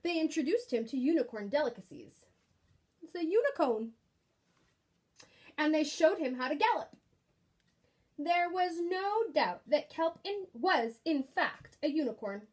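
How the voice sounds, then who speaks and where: A woman reading a children's picture book aloud expressively, pausing to put questions and asides to young listeners. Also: high voice. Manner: animated, engaging with questions.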